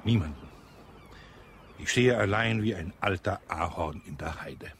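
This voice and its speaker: An actor playing a pompous, gallant old retired colonel in a radio play, prone to indignant outbursts. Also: male voice, deep voice, a little slow, slight background noise. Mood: melancholy. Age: older. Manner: pompous, gallant, grows heated.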